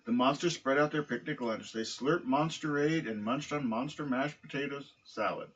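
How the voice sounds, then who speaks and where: A man with a husky voice reading a children's picture book aloud. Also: medium pitch, normal speed, fairly bright tone, dull audio. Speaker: storybook reader, dog owner.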